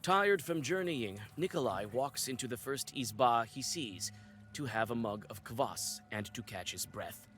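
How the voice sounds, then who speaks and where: Male game narrator, mid-pitched, steady atmospheric read, soft music bed. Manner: measured, atmospheric storytelling. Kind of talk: scripted narration.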